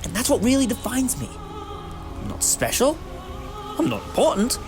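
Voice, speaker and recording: A man's voice: very high voice, wildly swooping pitch, normal speed, over music, heavily acted. A man playing an eccentric time-travelling hero in an audio drama, wry, modest and moralising, with a theatrical manner. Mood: candid, persuasive.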